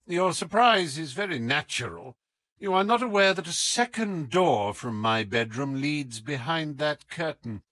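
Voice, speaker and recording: A man voicing a suave, affable gentleman detective with a polite, teasing manner in an audiobook. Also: fairly high pitch, animated, a little slow, no room sound, voice pushed up. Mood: amused, composed.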